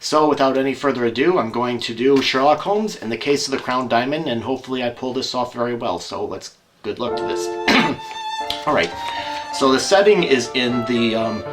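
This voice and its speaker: A man talking casually and haltingly as he introduces and explains an audio drama he is performing alone. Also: medium pitch, over music. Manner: informal, halting, rambling explanations. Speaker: host and solo performer. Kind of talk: casual introduction and scripted narration.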